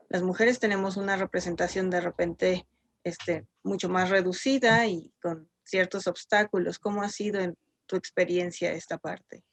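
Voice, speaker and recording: A woman hosting an interview, measured and affirming as she frames questions. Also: mid-range voice, a bit bright, no room sound. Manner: measured, affirming, uses filler "este". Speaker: host and interviewer. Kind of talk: interview conversation.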